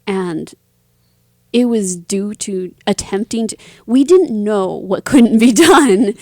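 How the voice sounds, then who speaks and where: A woman's voice: mid-range voice, warm tone, no echo, clean studio sound. A woman speaking casually as an interview guest, with informal phrasing and emphatic words. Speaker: interview guest, writer and performer.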